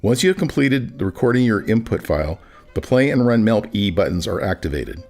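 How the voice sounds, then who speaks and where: Low-voiced man narrating a scripted tutorial. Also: sing-song, brisk, a little mellow. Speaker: narrator, product demonstrator. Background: background music.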